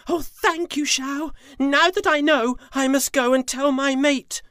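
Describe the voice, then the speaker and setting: Storyteller doing a female tiger, high pleading voice, forgetful, storybook style, wide pitch swings. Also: expressive, slow, a bit bright, no echo, studio quality, heavily acted. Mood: humble, eager.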